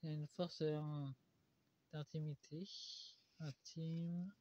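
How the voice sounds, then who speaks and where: Casting: halting tarot reader with a stuffy cold, man, somewhat high and mellow voice. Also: little pitch movement. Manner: halting, with many pauses and "euh" fillers. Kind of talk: solo tarot card reading. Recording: dull lo-fi recording.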